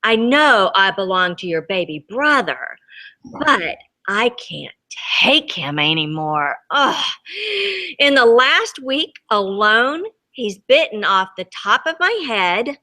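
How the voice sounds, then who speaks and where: Lady doing a whiny fed-up crayon from a kids book, slow n pleading, bright voice. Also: mid-range voice, sing-song, heavily acted. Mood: exasperated, pleading. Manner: whiny, exasperated complaining. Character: desperate, fed-up toddler's chunky crayon begging for rescue.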